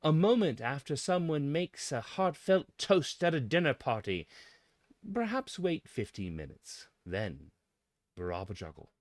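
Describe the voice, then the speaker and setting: A man delivering a scripted mock-academic monologue in a pompous, philosophical manner with dramatic pauses. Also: on the high side, sing-song, no echo. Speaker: presenter of a mock-scholarly essay.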